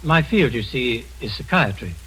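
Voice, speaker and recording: An actor playing a composed middle-aged man posing as a doctor, speaking with calm, measured, clinical authority in a vintage radio drama. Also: mid-range voice, a little hiss or hum, low-quality recording. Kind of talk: 1940s radio acting.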